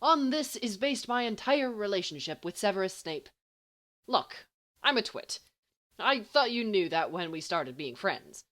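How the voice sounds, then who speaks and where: A woman's voice: medium pitch, big pitch swings, measured pace, a bit bright, voice pushed up. A woman playing a brash, teasing teenage schoolboy in an audiobook, indignant and flippant with a mock-grand manner.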